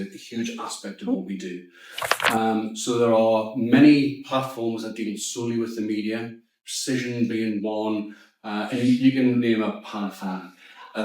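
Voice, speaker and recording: A middle-aged man with a Geordie accent delivers a startup pitch with firm, emphatic confidence. His voice sounds distant, as if picked up from far across the room. A heavy echo from a large hall surrounds it. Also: darkish tone. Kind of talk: pitch and Q&A on stage. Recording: echoey hall, distant mic.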